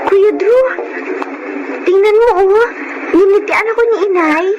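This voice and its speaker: A very high, childlike Filipino girl in a radio drama. She is plaintive, stubborn and tearful in her grief, with music clearly playing underneath. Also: a little slow, dull audio. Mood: pleading. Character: grieving young girl, stubborn, clinging to her mother.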